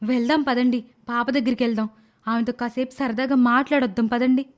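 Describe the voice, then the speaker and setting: A woman playing a caring but easily exasperated young mother, earnest and expressive, in an animated moral story. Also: a little high, brisk, lightly acted. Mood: concerned.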